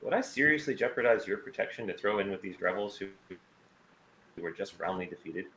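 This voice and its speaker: A man's voice: deep voice, lively intonation, normal speed, fairly bright tone. A man playing a confident, evasive mercenary pilot with a cool, clipped delivery in a sci-fi table read. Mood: firm, challenging. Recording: roomy echo with noticeable background noise.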